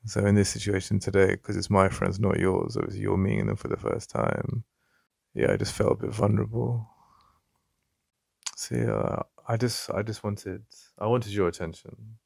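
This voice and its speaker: A man with an English accent playing a jealous, possessive yet vulnerable boyfriend in an intimate ASMR roleplay, halting and conversational with a gravelly voice. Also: fairly low pitch, darkish tone, no room sound, studio quality, full character voice. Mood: calming, vulnerable. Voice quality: gravelly, creaky. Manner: halting, repeating himself, conversational. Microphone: close, dry studio-style mic.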